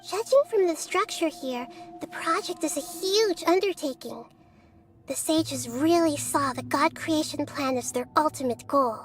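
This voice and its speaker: A woman voicing a calm, inquisitive young girl-like deity in a fantasy game, soft, measured and analytical. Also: high voice. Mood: explanatory. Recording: music behind the voice. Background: game music.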